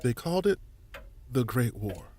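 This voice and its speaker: A man's voice: medium pitch, big pitch swings, normal speed, a little mellow, a little hiss or hum, natural voice. A man playing a friendly, folksy middle-aged museum volunteer in a family audio drama, chatty and fond of explaining things. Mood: musing, explanatory. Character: friendly, folksy older male museum volunteer and newspaper editor.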